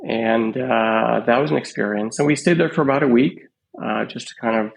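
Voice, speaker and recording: A man in his fifties, a guest on a podcast, telling his life story in an unhurried, reflective way with many small pauses. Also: medium pitch, creaky, rough recording. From Canada. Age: 50s. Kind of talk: casual storytelling interview.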